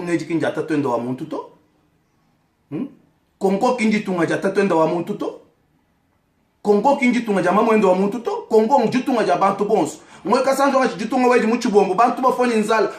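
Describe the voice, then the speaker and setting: A man's voice: high voice, animated, fairly quick. A man from Kasaï in DR Congo with a Congolese French accent, whose first language is Tshiluba, giving an impassioned political address to camera and calling people out by name. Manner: fiery, accusatory, calls out names directly. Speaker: vlogger addressing his community. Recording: echoey room.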